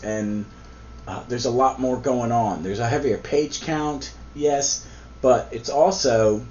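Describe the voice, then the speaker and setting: A man hosts a relaxed comic book chat, his voice a little hoarse from a cold. He wanders through his points with plenty of ums and restarts. The recording is a bit dull and lo-fi, with a faint hiss underneath. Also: mid-range voice. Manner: rambling, with frequent ums and restarts. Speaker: podcast host. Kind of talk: casual comic book review.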